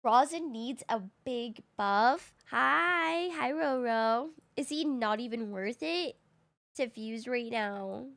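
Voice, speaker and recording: A woman game streamer chatting casually with her viewers while she plays, slightly raspy from just waking up, easygoing and quick to laugh. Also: fairly high pitch, a bit bright. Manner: chatty and easygoing, laughs often, thinks aloud. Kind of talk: casual live-stream chat, answering viewers.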